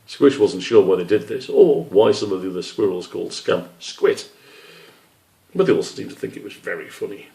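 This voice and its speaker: Male author narrating children's storybook, creaky low register, roomy sound. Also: animated, moderate pace, mellow. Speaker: author reading his children's book. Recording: roomy echo, distant microphone.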